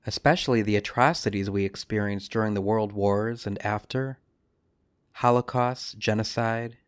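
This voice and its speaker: A man with a fairly low voice reads a guided prayer meditation in the role of a priest. His phrasing is solemn and measured, with deliberate pauses between short lines. The sound is close and dry, with little room around it. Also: no room sound. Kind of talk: scripted devotional reading and guided meditation.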